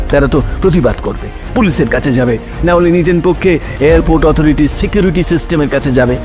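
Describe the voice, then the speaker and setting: A man's voice: on the high side, lightly acted. A man playing a principled, sceptical opposing lawyer who speaks formally and emphatically in an audio drama. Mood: probing, sceptical. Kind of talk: Bengali audio drama acting. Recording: dramatic music under the voice.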